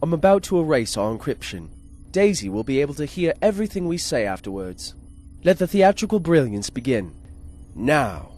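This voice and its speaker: An actor playing a polite, formal shipboard artificial intelligence with a gleeful murderous streak, in a 1950s-style space radio serial. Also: male voice, a little high, big pitch swings, moderate pace, darkish tone, faint music behind. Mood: formal, eager. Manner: formal, courteous, precise wording. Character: polite, formal male ship's computer with murderous glee.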